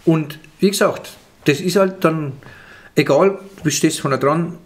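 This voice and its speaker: Older franconian guy chatting at a table mic, bit high voice, keeps restarting sentences. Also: a little slow. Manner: chatty, lots of restarts, gestures while talking. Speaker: comedian guest. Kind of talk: casual interview chat. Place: small indoor office room. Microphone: table microphone.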